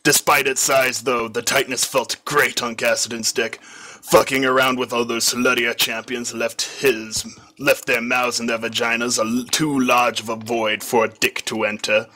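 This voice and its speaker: A man's voice: mid-range voice, flat delivery, moderate pace, pushed and bright. A man reading a comic fan fiction story aloud as scripted narration.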